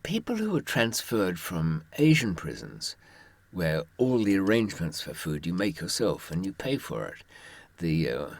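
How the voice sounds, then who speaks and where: Male raconteur, very low and rough-edged husky voice, dry close sound, wry and understated, sharing hard-won advice informally. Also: huge pitch swings, unhurried, dry recording. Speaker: author and former prisoner giving advice. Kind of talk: informal first-person storytelling to listeners.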